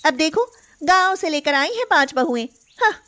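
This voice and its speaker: A woman playing an envious, spiteful neighbour in an animated moral story, scornful and catty as she gossips. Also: high voice, normal speed. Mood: resentful.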